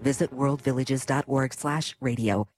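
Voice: mid-range voice, moderate pace, music in the background. An announcer reading a charity appeal for the radio in an earnest manner.

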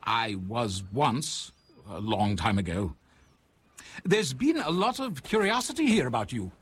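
A man with a London accent playing a gentle, welcoming male nurse who runs a leper settlement, in an audio drama. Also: mid-range voice, very animated, unhurried, slightly rough. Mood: friendly. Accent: London English.

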